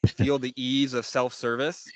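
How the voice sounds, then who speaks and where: A man's voice: mid-range voice, flat delivery, a little slow, no echo. A man in his thirties explaining conversationally as a panel guest, on a close desk microphone. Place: small studio room with acoustic foam.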